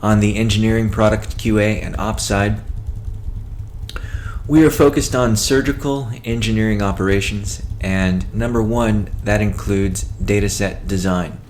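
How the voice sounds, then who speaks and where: A man presenting a company update as a chief executive, in a measured, matter-of-fact way with halting phrasing. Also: on the low side, darkish tone, slight background noise. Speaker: company CEO hosting a weekly call. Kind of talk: business update presentation, partly read from notes.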